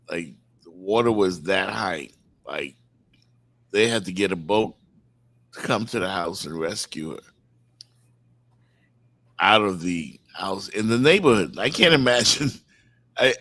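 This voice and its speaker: Male co-host from the Bahamas, low voice, dry close sound, casual morning chat, repeats himself for emphasis. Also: a bit bright, no room sound. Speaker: talk show co-host.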